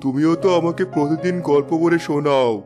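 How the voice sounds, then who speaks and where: Actor as a wise cartoon tree, medium pitch, gentle, measured, reassuring, music behind. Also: steady tone, natural voice. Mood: kindly, thoughtful. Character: kindly talking book tree, calm and wise. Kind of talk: children's cartoon voice acting.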